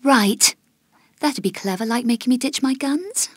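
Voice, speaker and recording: A woman's voice: on the high side, unhurried, slightly rough, no room sound. An actress playing a cool, sarcastic female adventurer with clipped, dry lines in a video game. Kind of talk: video game cutscene voice acting.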